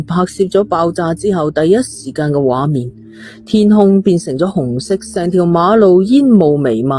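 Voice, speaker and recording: A man's voice: fairly high pitch, dark tone, husky. A man with a Hong Kong Cantonese accent narrating a suspense novel in a storytelling style. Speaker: audiobook narrator.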